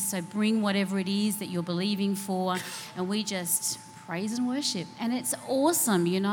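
A woman who leads a women's church group talks about it earnestly and encouragingly. Her voice carries a long hall reverb over a faint steady hum. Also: mid-range voice. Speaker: women's small group leader. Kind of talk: casual church panel chat.

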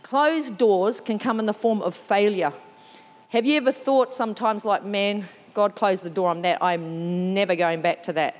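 A woman with a New Zealand accent preaching a sermon, lively and chatty, with asides that poke fun at herself. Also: medium pitch, normal speed, in a large hall. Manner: lively, warm storytelling with self-deprecating asides.

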